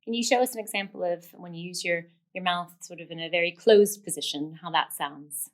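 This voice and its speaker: Low-voiced woman giving encouraging instruction. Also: brisk, a little hiss or hum. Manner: encouraging, affirming, explanatory. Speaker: speech therapist giving instruction. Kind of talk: instructional demonstration, explaining step by step.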